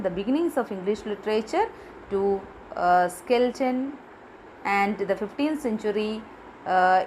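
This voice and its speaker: A woman giving a literature lecture from her notes, pausing often with fillers and restarting words. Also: medium pitch, darkish tone, a little hiss or hum, rough recording. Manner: halting, many fillers and restarts. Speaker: teacher.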